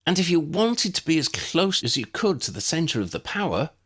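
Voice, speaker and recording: A man voicing an earnest, quick-thinking young woman in an audiobook. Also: on the high side, sing-song, a bit bright, slightly rough, voice pushed up, full character voice. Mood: keen, explaining. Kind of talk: audiobook character voice.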